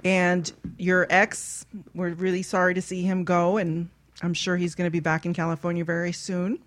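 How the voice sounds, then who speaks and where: A woman radio host with a slightly low voice chats casually. Her manner is bawdy and joking, like someone swapping crude banter on air. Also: little pitch movement, moderate pace. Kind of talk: casual chat.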